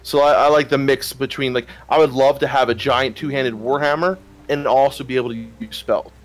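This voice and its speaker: A man's voice: mid-range voice, fairly even intonation, a little fast. A man co-hosting a casual gaming podcast, talking bluntly and swearing freely. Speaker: podcast co-host.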